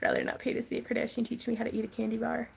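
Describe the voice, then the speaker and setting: A giggly, talkative woman answers viewer questions in a home craft room. Her voice sits a touch high and tumbles along with plenty of fillers. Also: little pitch movement, very fast, vocal fry, slightly rough. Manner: chatty, giggly, lots of fillers. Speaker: craft channel host. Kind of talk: livestream Q&A chat.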